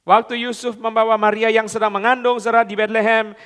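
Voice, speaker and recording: A man preaching a sermon, swinging between loud high emphasis and low quiet asides, often slipping into English and casual slang. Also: flat delivery, brisk, clean tone, long reverb tail. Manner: repeats "saudara" constantly, mixes in English phrases and colloquial Jakarta slang. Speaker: pastor.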